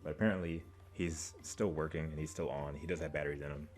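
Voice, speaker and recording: A man with a low voice talks casually as a reaction video host. His delivery is informal and rambling, with a playfully exasperated edge. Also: fairly even intonation, fast talker, soft background music. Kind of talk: casual chat to camera.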